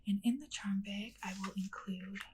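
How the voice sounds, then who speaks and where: A woman doing a whispered ASMR role play as a kindly witch, speaking gently and unhurriedly straight to the listener. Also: medium pitch, steady tone, normal speed, a little hiss or hum. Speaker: ASMR creator playing a witch.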